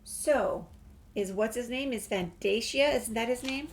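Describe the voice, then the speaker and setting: A woman's voice: a little high, measured pace, a little hiss or hum. A woman hosting a casual game playthrough, musing aloud as she goes. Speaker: gaming host.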